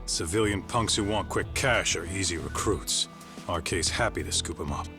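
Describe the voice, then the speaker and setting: An actor voicing a blunt, streetwise ex-gangster in a video game. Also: male voice, sits low, normal speed. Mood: gruff. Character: gruff tough male ex-yakuza in his thirties. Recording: music playing behind. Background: music.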